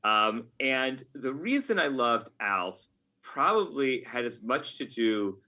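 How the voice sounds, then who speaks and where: A man in middle age with a notably bright, forward voice at an ordinary male pitch. He talks casually as an interview guest, with wry, self-mocking enthusiasm and a nerdy streak. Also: sing-song, slow. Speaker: podcast guest, game writer.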